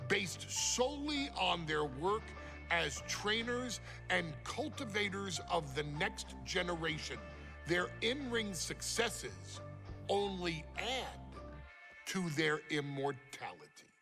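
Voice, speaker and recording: Male narrator, high-pitched and slow, grandiose and reverent, scripted showcase presentation with weighty pauses. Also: very animated. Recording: music under the voice. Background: music.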